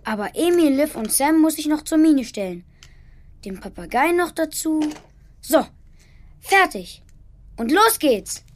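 An eager, helpful young boy in a children's radio play. The voice is high and bouncy, with faint noise behind it. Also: big pitch swings, measured pace, pauses often. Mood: busy, content.

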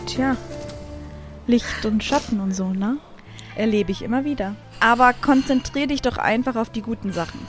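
Breezy female angel for an audio drama, played by a woman, light high voice, casual delivery over music. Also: normal speed, natural voice. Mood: breezy, matter-of-fact. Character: breezy female angel granting a twisted wish. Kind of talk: amateur audio drama dialogue.

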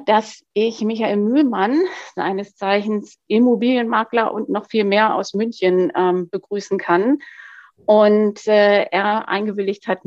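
A woman's voice: medium pitch, darkish tone. A woman hosting a podcast interview who talks in a loose, chatty way and often corrects herself mid-sentence. The sound is dull and dry, with no room echo. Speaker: podcast host and supervisor.

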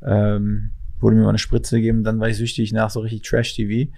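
A man's voice: lowish voice, completely flat delivery, brisk, vocal fry, warm tone. A man hosting a casual podcast chat, asking questions in a teasing, joking way with loose slang. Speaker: podcast host.

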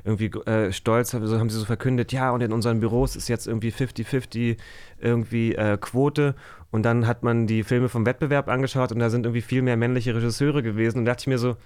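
A man's voice: mid-range voice, steady tone, fast talker, darkish tone, smooth. Male podcast guest, thirties, medium register, casual searching delivery with English slang. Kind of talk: casual chat.

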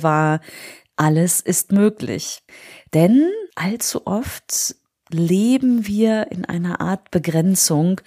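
A woman's voice: on the low side, dark tone. A woman in her forties with a cold, hosting a motivational solo podcast and speaking earnestly and encouragingly to the listener. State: has a cold. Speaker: podcast host. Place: on holiday indoors.

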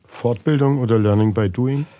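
A male podcast host with a dark, relaxed voice at an average pitch. He puts brief quick-fire questions to his guest and keeps his own part concise and attentive.

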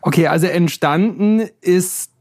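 A man hosting an interview podcast in German, asking questions in a friendly, brisk way. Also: high voice, a little mellow, dry recording, clean studio sound. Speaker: podcast host.

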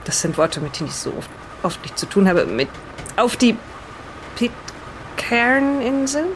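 A woman playing a polite, careful reader in an improvised audio play, speaking in a measured and slightly halting way. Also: a little high, big pitch swings, a little hiss or hum. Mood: careful, studious. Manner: polite, measured, halting over unfamiliar words. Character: polite, careful woman reading an explorer's old diary. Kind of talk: improvised in-character audio play with diary reading.

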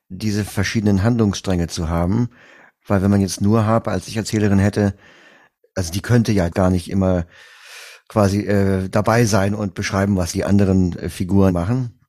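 Guy doing an interview, lowish voice, bit halting, rewords stuff as he talks. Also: steady tone, a little mellow. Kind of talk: conversational interview questions.

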